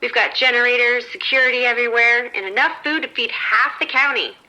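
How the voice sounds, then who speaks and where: A woman's voice: on the high side, steady tone, brisk, pushed and bright, low-quality recording. A woman playing an upbeat, unruffled casino floor manager in an audio drama, chatting in a matter-of-fact, reassuring way. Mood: chatty. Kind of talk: scripted horror audio drama radio exchange.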